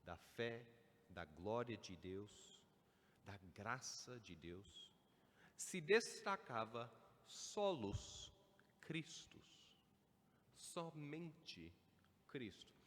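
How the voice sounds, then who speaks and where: A man's voice: medium pitch, lively intonation, drawn-out words, pauses often, a bit bright. A man preaching a Sunday evening sermon, building to emphatic stresses. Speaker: pastor. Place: church sanctuary. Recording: church hall with long reverb.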